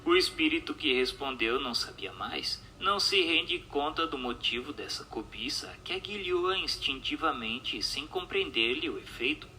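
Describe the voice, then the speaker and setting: A man with a Brazilian accent giving a formal, measured reading of a scripted radio drama. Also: on the high side, lively intonation, pushed and bright, a little hiss or hum, rough recording. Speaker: reader of a spiritist radio drama.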